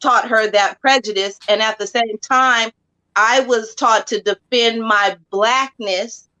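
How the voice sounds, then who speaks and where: A woman's voice: on the high side, fairly even intonation, pushed and bright, rough recording. Blunt woman guest raised in Hawaii. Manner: blunt, halting, repeats words. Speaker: podcast guest, singer. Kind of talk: casual podcast conversation.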